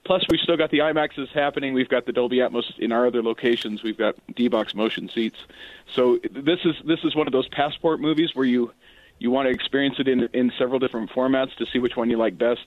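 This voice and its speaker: A man being interviewed on the radio as a guest, talking conversationally and a bit haltingly over a thin line. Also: medium pitch, no room sound. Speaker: cinema chain spokesman, interview guest. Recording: tinny narrowband phone-like line.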